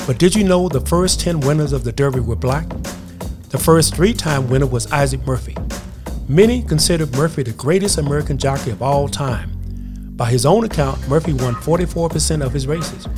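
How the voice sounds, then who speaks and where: A man hosting a podcast, giving a measured, informative scripted introduction. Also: on the high side. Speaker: podcast host and producer. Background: music.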